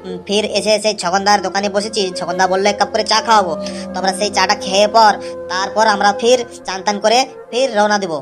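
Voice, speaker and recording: A man's voice: high voice, steady tone, fast talker, music in the background, natural voice. A man voicing a weary, chatty young male pilgrim in an amateur cartoon, rambling and repeating himself. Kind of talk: amateur cartoon dialogue, vlog-like.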